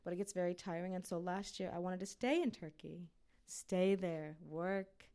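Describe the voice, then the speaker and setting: A woman's voice: on the low side, moderate pace, mellow. A woman from Uzbekistan, a missionary, talking earnestly and casually to a church group with many fillers. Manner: earnest, chatty, fillers like "you know".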